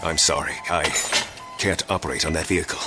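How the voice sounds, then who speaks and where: A man's voice: very deep voice, fairly even intonation, fairly quick, music in the background. A man acting as a grave, melodramatic surgeon in a spoof medical drama ad, with an overwrought, solemn delivery. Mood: anguished regret.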